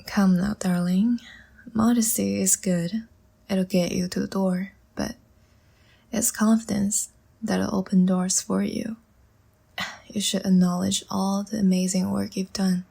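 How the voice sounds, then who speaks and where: Woman playing a languid, breathy, half-whispering vampire seductress. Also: medium pitch, little pitch movement, exaggerated voice. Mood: soothing, teasing. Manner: slow, soft, teasing and soothing. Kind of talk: intimate ASMR audio roleplay.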